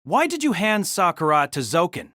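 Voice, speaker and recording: A man's voice: sits high, very animated, moderate pace, pushed and bright, no room sound, clean studio sound, full character voice. A man playing a bitter, agitated character confronting a hated rival in an audio drama, intense and accusing. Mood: bitter demanding.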